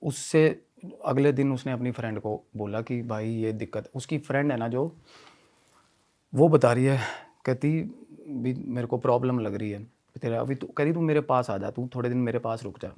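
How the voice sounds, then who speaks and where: A man telling a story in animated conversational style, often repeating himself and mixing in English phrases. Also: mid-range voice, moderate pace. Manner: repetitive, mixes Hindi with English phrases. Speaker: podcast guest telling a ghost story.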